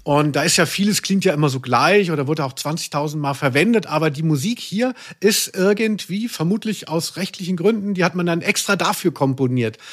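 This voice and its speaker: Musing man with a lightly high voice. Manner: musing, hesitant, searching for words. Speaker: podcast co-host. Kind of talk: casual chat about radio plays.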